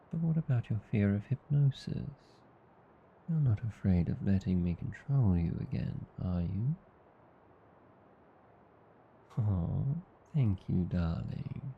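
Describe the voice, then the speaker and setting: A man with an Australian accent playing a tender, teasing vampire husband in an ASMR roleplay, soothing, coaxing and calmly hypnotic. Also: on the low side, gravelly, muffled recording, a little hiss or hum, heavily acted. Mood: affectionate, teasing. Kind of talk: ASMR roleplay, slow intimate scripted acting.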